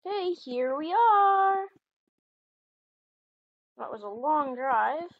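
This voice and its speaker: High, very animated woman gamer. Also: takes it slowly, dull audio. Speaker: gaming video host, mother playing with her daughter. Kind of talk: casual role-play chat over gameplay.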